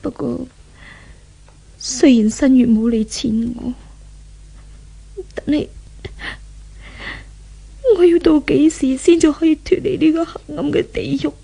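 Casting: tormented, once-pampered young woman, Hong Kong Cantonese radio drama, high and mellow, breathy, remorseful. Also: fairly even intonation, takes it slowly, long pauses, exaggerated voice. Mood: sorrowful reflection. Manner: dramatic, breathy monologue with sighs. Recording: 1970s radio drama recording.

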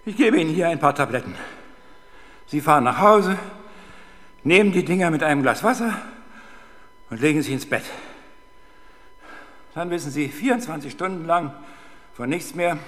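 An insistent doctor in a seventies German radio play. His voice sits fairly high and rings in a reverberant room as he issues firm prescriptions. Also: lively intonation, moderate pace, pauses often, a bit bright. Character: brisk, commanding male doctor. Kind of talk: 1970s German radio crime drama acting.

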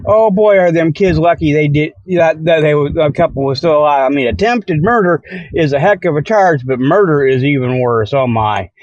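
A man's voice: a little high, animated, normal speed, a little mellow, no room sound, lo-fi sound. A man hosting a comic report show in a rambling, folksy, easily sidetracked monologue full of okays and you-knows. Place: outdoors by a river.

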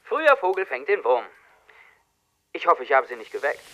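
An actor playing a brash, foul-mouthed, needling brain researcher in a radio crime drama. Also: male voice, medium pitch, huge pitch swings, normal speed, pushed and bright. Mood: cheekily crude. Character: brash, crude, cheeky male brain-institute researcher.

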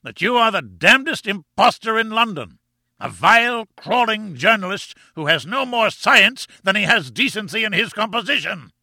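Audiobook role: short, fierce professor, contemptuous and pompous, rumbling roar, sharp attack. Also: male voice, lively intonation, measured pace, no echo, full character voice. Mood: raging contempt. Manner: pompous, menacing, insulting. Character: short, barrel-built furious professor, bullying and contemptuous. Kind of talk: audiobook character acting.

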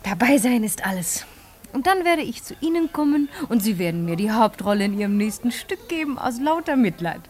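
An actress playing an ambitious, stubborn young actress in a 1950s radio play, flippant, coaxing and self-assured. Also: female voice, a little high, big pitch swings, a little fast, a little mellow. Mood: confident, coaxing. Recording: 1950s radio drama recording.

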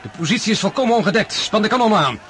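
An actor playing an urgent army officer bringing orders in a radio war drama. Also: male voice, sits high, expressive, rapid-fire, a bit bright. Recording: clear battle noise behind.

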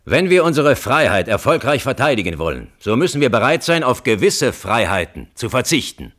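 Uncompromising military colonel, lively intonation. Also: male voice, medium pitch, brisk. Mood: insistent. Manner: formal, uncompromising, precise. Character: hardline male military security colonel testifying as witness. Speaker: witness at a hearing. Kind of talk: radio play courtroom acting.

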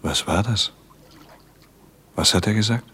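An actor playing a tender, devoted lover in a radio play, talking in a gentle, coaxing, reassuring way. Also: male voice, lowish voice, a little slow, breathy edge, heavily acted. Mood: puzzled. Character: tender, devoted male lover caring for his woman. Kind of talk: intimate radio play acting.

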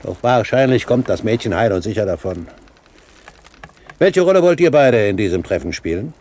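An actor playing an elderly gentleman pirate captain in a German radio play, courteous, composed and commanding, with formal, clipped delivery. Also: fairly low pitch, lively intonation, normal speed, natural voice. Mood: cool, commanding. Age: older. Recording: 1970s radio drama with background noise.

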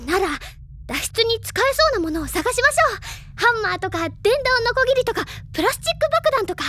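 A woman voicing an excitable, bookish teenage girl in a Japanese audio drama, with expressive, theatrical, feminine phrasing. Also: extremely high pitch, fast talker, exaggerated voice. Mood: brisk, assertive. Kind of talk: Japanese audio drama acting, anime style. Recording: studio drama with music behind. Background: background music.

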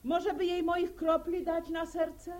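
Fretful village mother, high voice. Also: monotone, takes it slowly, darkish tone. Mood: concerned. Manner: fretful, homely, soothing. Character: worried, comforting village mother of grown sons. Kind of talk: radio drama acting.

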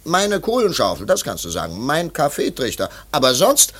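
An actor in a radio play, playing an irritable, domineering husband with a mocking, dismissive manner. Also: male voice, on the high side, huge pitch swings, normal speed, a bit bright. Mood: irritated, mocking.

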